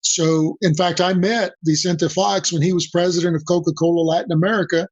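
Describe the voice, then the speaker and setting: An older man from Mexico whose first language is Spanish, a guest on a podcast interview, talking in a measured way and repeating key phrases for emphasis. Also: high voice, level tone, no room sound. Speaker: guest, senior advisor. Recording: dull dry lo-fi audio.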